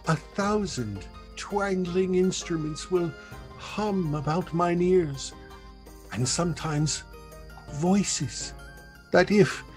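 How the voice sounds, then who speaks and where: A man acts out a servile monster of the island, full of childlike wonder. He speaks very slowly and haltingly in dreamy verse, with music beneath, as in a Shakespearean audio play. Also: fairly high pitch, darkish tone. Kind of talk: Shakespearean radio play acting.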